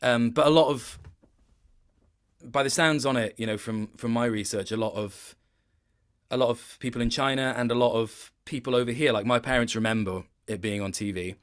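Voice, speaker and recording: A man's voice: mid-range voice, moderate pace. A man speaking casually as an interviewed voice actor, thoughtful and hesitant, often circling back to rephrase what he is saying.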